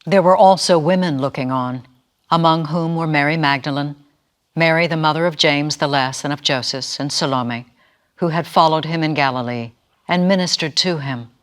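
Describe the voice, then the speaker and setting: A narrator giving an expressive, dramatic reading of a scripture story. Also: medium pitch, normal speed, lightly acted. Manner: expressive, acts out quoted speakers.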